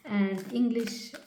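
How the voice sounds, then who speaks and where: A woman with a German accent, a native German speaker, giving a tarot reading in a halting way with many pauses and restarts. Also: a little high, mellow, reverberant, slight background noise, rough recording. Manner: hesitant, halting with frequent ums and restarts. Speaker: tarot reader.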